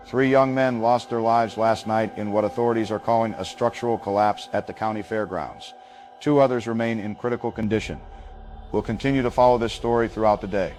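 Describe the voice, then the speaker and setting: Man acting local news anchor, lowish pitch, sober, formal, polite, breaking news audio drama, music bed. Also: a little mellow, lightly acted.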